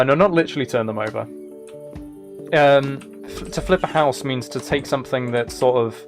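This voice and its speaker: A man talks casually on a live gaming stream, at a middle pitch. He chats with his viewers and thinks out loud while he plays. Guitar music runs clearly behind his voice. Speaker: live streamer playing video games.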